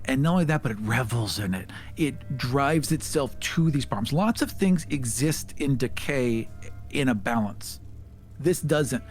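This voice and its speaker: A man's voice: mid-range voice, expressive, a little slow, soft background music. A man hosting a casual podcast chat about tabletop games, talking in an earnest, emphatic way.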